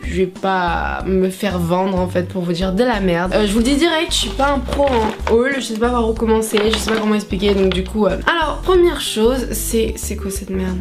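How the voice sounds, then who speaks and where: A young woman, chatting casually in a vlog, swearing a lot and tossing in playful bits of mock English, with music behind her. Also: medium pitch. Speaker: vlogger. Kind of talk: casual haul vlog chat.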